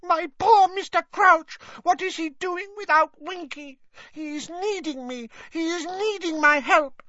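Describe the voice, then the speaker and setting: A man voicing a tearful, devoted female house-elf who squeaks and wails in broken grammar, crying. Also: expressive, unhurried, sharp tone, no echo, muffled recording, full character voice. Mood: wailing. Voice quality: squeaky, wailing. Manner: broken grammar, sobbing outbursts.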